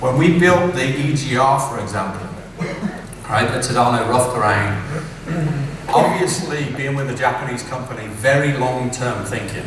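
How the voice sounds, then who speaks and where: A middle-aged English man delivers a conference keynote in a casual, chatty way, tagging his points with "right?". He sits at an average pitch and sounds far from the mic. The hall gives heavy reverb, and clear background noise runs under him. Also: lively intonation, normal speed. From England. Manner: conversational, keeps asking "right?". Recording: echoey distant hall recording with background noise.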